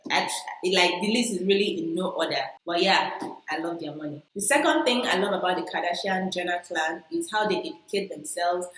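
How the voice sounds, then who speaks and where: A woman's voice: lowish voice, brisk, fairly bright tone, echoey room, poor audio. A woman with a strong Igbo Nigerian accent, chatting playfully to camera as a vlogger and repeating herself for emphasis. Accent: Igbo-accented Nigerian English. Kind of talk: casual chat to camera.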